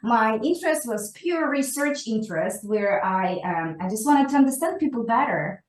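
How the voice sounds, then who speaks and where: A woman's voice: on the high side, animated, normal speed, reverberant, rough recording. A woman whose first language is Ukrainian, a scientist answering interview questions in a thoughtful way, with many fillers and restarts, often ending points with "right?". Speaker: guest scientist.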